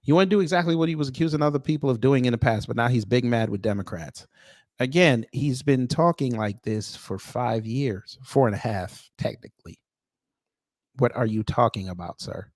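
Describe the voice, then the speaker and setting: An American man delivers off-the-cuff opinion commentary as an online host. His manner is sarcastic and confrontational, leaning on pointed pauses. His voice sits at a medium pitch and is recorded dry and close, with little room sound. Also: a little mellow, dry recording. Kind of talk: off-the-cuff opinion commentary, reading posts aloud.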